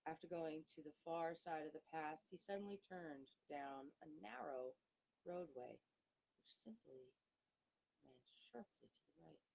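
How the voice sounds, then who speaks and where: A woman reading a gothic novel aloud as an audiobook, in a dramatic, measured storytelling manner with expressive pauses. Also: low voice, creaky, slight background noise, low-quality recording. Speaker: audiobook reader.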